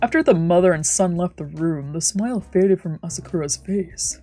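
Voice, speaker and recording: A woman's voice: lowish voice, expressive, normal speed, mellow, soft background music. A woman doing a playful let's play, reading visual novel text aloud and reacting casually. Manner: playful, giggly. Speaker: streamer.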